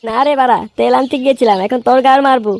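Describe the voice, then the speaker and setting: A crude, complaining cartoon layabout , put on by a voice actor. The voice is high and quick, whining and swearing irritably. Faint noise sits behind it. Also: steady tone, gravelly. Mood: threatening. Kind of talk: comedy cartoon dub.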